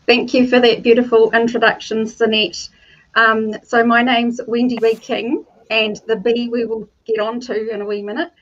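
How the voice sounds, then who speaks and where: A woman from New Zealand, a life coach, telling her personal story in a measured way over a video call. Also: a little high, little pitch movement, lo-fi sound.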